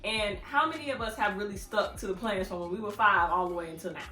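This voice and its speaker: A woman's voice: mid-range voice, fast talker, bright, forceful tone. A woman in her thirties hosting her own channel, giving casual advice to the camera in a chatty, playful way and laughing often. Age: 30s. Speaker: vlogger, channel host. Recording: echoey room with faint music.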